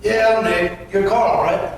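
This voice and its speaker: A man's voice: high-pitched, steady tone, unhurried. A man reading the part of an earnest, troubled veteran down on his luck at a script table read. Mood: hesitant. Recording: echoey room, distant mic, faint noise.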